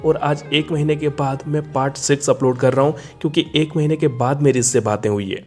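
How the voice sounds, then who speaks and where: Male host, slightly high voice, presenting a video intro with soft music behind. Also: steady tone, brisk. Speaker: channel host introducing a recording. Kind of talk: scripted-sounding video intro narration. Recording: music under the voice. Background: background music.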